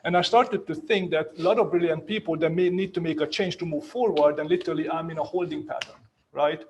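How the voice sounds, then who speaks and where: A man in his fifties with a Hungarian accent, pitched a little above most men. He talks in a loose, chatty way, pokes fun at himself and keeps tagging "right?" onto his points. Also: fairly even intonation, fast talker, slight background noise. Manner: chatty, self-mocking, leans on "right?" tags. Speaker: real estate agent on a panel. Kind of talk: casual panel storytelling.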